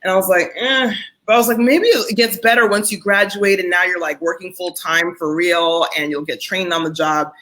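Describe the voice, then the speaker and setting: A woman's voice: fairly low pitch, steady tone, normal speed, hardly any pauses, a bit bright, low-quality recording. A middle-aged woman host telling personal stories in casual conversation, candid and self-deprecating, acting out her own thoughts and dialogue as she goes. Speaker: community founder and host.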